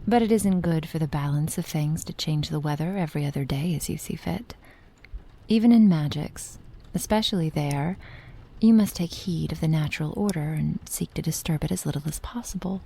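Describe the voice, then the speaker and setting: A woman playing a possessive, doting witch in an intimate ASMR roleplay, speaking softly and unhurriedly, often slipping into a whisper. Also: low voice, gravelly, slightly rough, full character voice. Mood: measured, instructive. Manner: soft, unhurried, affectionate, often dropping to a whisper.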